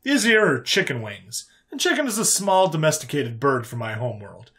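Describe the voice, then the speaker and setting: A man voicing a cheerful, folksy human interrogator in a narrated sci-fi story, calm and teasing in colloquial phrases. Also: a little high, moderate pace. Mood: folksy, enthusiastic.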